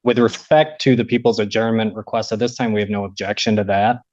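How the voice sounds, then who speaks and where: An American man speaking as a defense attorney, making a measured, formal and respectful plea to a judge. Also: on the low side, level tone, a little mellow. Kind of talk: courtroom argument to a judge. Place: indoor courtroom. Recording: muffled courtroom audio.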